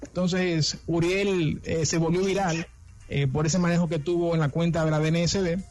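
A man's voice: fairly high pitch, steady tone, moderate pace, a little mellow. A Dominican man co-hosting a radio interview, chatty and introducing the guests at length with many restarts. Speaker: co-host. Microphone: remote call.

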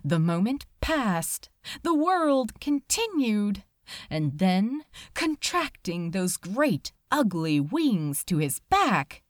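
Woman narrating an audiobook, really dramatic and expressive, takes her time, close dry sound. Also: mid-range voice, no room sound. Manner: measured, deliberate, dramatic phrasing with pauses. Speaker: fan fiction reader, narrator.

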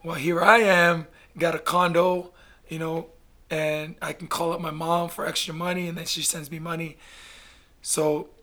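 A middle-aged man from Canada telling his story in a casual interview, blunt and animated, swearing freely. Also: on the high side, moderate pace. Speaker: guest, basketball player.

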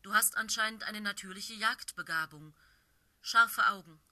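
Blaustern, a stern she-cat leader from a storybook, as a woman reads her, commanding and firm, her tone bright and sharp with a forceful edge. She speaks with the weight of a clan's leader, and her every word carries judgment. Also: mid-range voice, moderate pace. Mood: stern, approving.

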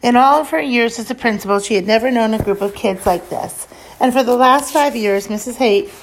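A woman reading a children's book aloud as scripted narration, leaning deliberately on key words and lists. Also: on the high side, slight background noise, poor audio.

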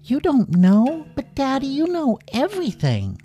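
A storyteller voicing an excitable, cheeky little animal girl who exclaims bluntly in a children's story. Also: medium pitch, moderate pace, muffled recording, faint music behind, pitched above the performer's own voice. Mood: indignant.

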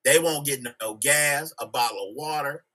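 A man's voice: fairly high pitch, normal speed, sharp tone. An American man making emphatic points in a casual group discussion, often checking for agreement. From United States, Chicago. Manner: emphatic, seeks agreement with tag questions. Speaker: podcast discussion participant.

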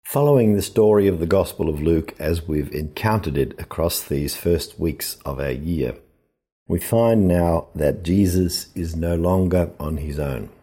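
A man giving a reflective scripture sermon as a priest, in a measured, deliberate, explanatory manner. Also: low voice, mellow, breathy edge.

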